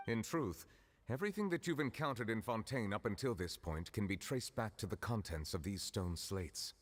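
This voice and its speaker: An actor playing a composed, scholarly gentleman in a video game, speaking formally, politely and in a measured way. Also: on the low side, lively intonation, a little hiss or hum, lightly acted.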